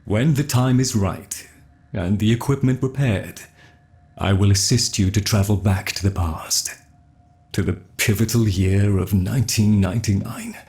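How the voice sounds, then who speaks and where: A man playing a solemn, loyal laboratory keeper in a video game, formal and measured. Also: lowish voice, a little mellow. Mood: solemn, resolute. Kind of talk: scripted video game character dialogue.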